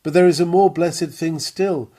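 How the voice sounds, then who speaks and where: A man reading a sermon aloud in an earnest, measured, emphatic preaching manner. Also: on the high side, fairly even intonation, mellow. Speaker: reader of a printed sermon. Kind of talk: read-aloud sermon, devotional.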